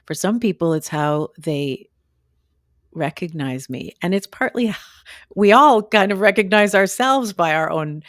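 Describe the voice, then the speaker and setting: A woman hosts a podcast interview, her voice strained and unable to reach its usual range. She speaks thoughtfully, with frequent pauses and restarts, in a lowish register, recorded close and dry. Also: animated, fairly bright tone, no room sound. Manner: thoughtful, halting, many restarts. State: voice limited and strained after surgery. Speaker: podcast and radio host. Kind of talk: conversational interview.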